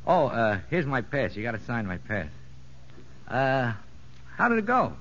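An actor playing a sly, streetwise convict boss with slangy, mock-courteous talk in a 1950s radio drama. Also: male voice, medium pitch, wildly swooping pitch, a little slow, fairly bright tone. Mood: sly, needling. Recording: dull, noisy 1950s radio recording.

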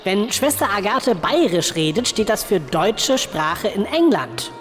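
A woman's voice: medium pitch, very animated, unhurried, in a large hall, soft background music. A woman in a comedy sketch playing a defensive, whiny radio-play writer who stammers through excuses. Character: flustered male radio-play writer on trial.

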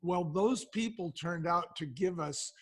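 Older man, American accent, higher pitch, conversational storyteller, acts out quotes. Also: a little slow, breathy edge. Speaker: podcast guest, company co-founder.

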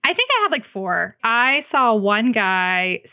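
A woman chatting casually as a podcast guest, telling stories in an animated way and slipping into quoted dialogue. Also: mid-range voice, a little slow. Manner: animated retelling with acted-out quotes, frequent "like". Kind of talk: casual chat, storytelling. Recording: muffled, phone-like band-limited sound.

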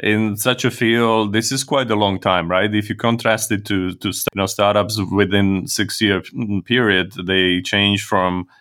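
A man hosting a podcast interview, speaking with hesitant pauses and fillers. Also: on the low side, fairly even intonation, a bit bright. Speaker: podcast host.

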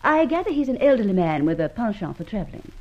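Husky arch society lady, cheeky, evasive, old radio play, lilts all over, muffled lo-fi. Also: mid-range voice, very animated, darkish tone. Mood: mock-innocent, chatty. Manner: airy, mock-innocent, quick-witted. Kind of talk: old-time radio drama acting. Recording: dull, lo-fi old radio recording.

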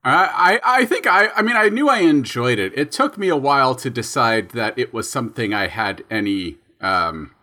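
A man's voice: medium pitch, very animated, moderate pace, hardly any pauses, sharp tone. Thoughtful man talking shop. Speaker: podcast co-host and sound designer. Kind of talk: casual conversation.

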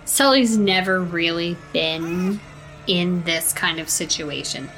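A woman's voice: fairly low pitch, slow pace, a bit bright, soft background music, poor audio. A woman playing a tabletop game, describing her character in improvised narration.

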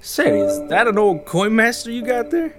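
A man's voice: very high-pitched, very animated, normal speed, a bit bright, faint music behind, full character voice. An actor playing a blunt, folksy man who is suspicious of an intruder, in an audio drama. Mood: curious, wary. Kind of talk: audio drama character acting.

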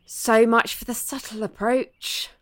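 A woman's voice: a little high, little pitch movement, a little slow, slight background noise, lightly acted. A woman playing a cautious, practical, sensitive ghost hunter in an audio drama, talking in a tentative, conversational way. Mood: cautious, wry.

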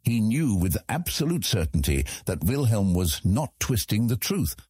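Deep, husky male audiobook narrator. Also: very animated, a little mellow, dry recording, clean studio sound. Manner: measured, dramatic storytelling.

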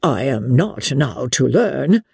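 A pompous, self-satisfied clergyman played by a woman holds forth in a classic novel reading. The delivery is husky and mellow, formal and ponderous, with grand rises and falls of pitch. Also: big pitch swings, takes it slowly, no room sound, studio quality, exaggerated voice. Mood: unruffled, smug. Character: pompous, self-satisfied clergyman suitor, adult man, long-winded.

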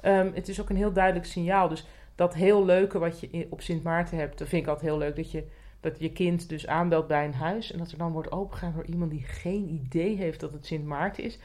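Woman podcast cohost, bit on the low side, just chatting and curious. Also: steady tone, brisk, few pauses, a little mellow. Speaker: podcast co-host. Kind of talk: casual chat.

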